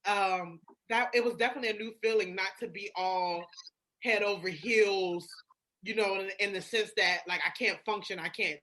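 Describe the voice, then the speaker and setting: A woman in candid, casual podcast conversation, frank and expressive, acting out her own thoughts. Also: mid-range voice, normal speed, poor audio.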